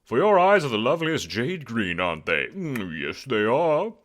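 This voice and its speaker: A man's voice: medium pitch, sing-song, moderate pace, lightly acted. A man voicing a jaunty, self-important globe-trotting scientist in an audio drama, with breezy theatrical delivery and old-fashioned exclamations. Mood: smug, fond.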